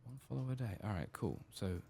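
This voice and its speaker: A man giving soft-spoken, partly whispered ASMR commentary as he plays a game, murmuring his thoughts aloud. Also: fairly low pitch, lively intonation, fast, vocal fry, a little hiss or hum. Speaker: gaming channel host.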